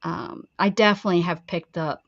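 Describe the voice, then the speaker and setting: A woman's voice: lowish voice, steady tone, brisk, gravelly, no room sound, muffled recording. A woman with a mixed northern and Southern accent, chatting casually and rambling on a livestream, laughing often. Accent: mixed Pittsburgh and Texas. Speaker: craft channel host.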